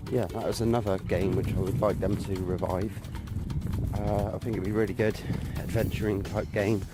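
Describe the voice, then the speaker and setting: A stuffy-nosed man in his thirties with a deep, monotone voice rambles casually about old games. He talks into a handheld camera while walking along a street outdoors. Loud music plays over him. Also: moderate pace. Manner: rambling, hesitant with many fillers. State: stuffy nose and sore throat from hay fever. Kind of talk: casual chat. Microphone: phone or camera held at arm's length.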